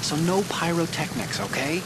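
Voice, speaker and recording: A man's voice: fairly high pitch, steady tone, normal speed, close to an everyday voice. An actor playing a cocky, brainy hacker guiding his partner in a video game, breezy, teasing and explanatory. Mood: earnest. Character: cocky, brainy male hacker, chatty mission-support partner. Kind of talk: video game cutscene acting. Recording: loud background noise, rain ambience.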